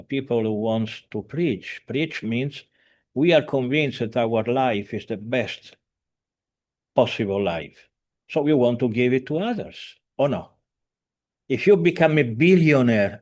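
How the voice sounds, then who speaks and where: A man in his seventies talks earnestly over a video call, in a voice of ordinary middle pitch for a man. He speaks haltingly, repeating and restarting his words, with filler sounds and slightly broken, non-native grammar. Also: a little mellow. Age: 70s. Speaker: spiritual teacher. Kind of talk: informal talk by video call.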